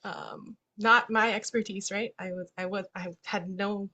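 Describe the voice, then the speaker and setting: Woman from Hawaii, webinar presenter, chatty and relaxed, mid-range voice, computer mic on a video call. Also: medium pitch, unhurried, lo-fi sound. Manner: chatty, with frequent ums and local Hawaiian words. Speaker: stewardship coordinator presenting a webinar. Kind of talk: casual online presentation.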